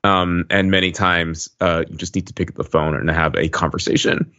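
A man's voice: sits low, steady tone, moderate pace, pushed and bright. A man co-hosting a podcast over a video call in casual, wry conversation. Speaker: podcast co-host. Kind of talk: casual chat. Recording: slightly dull video-call sound.

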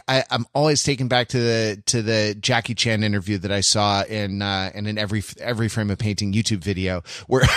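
A man's voice: medium pitch, no room sound. Man casually discussing film, close dry sound. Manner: halting, thinking out loud, repeats words. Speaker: podcast co-host.

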